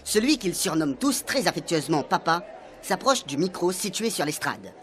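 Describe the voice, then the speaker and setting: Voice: medium pitch, very animated, normal speed, a crowd in the background, background noise. A narrator reading a scripted, dramatic recap for a comic radio serial. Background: faint music.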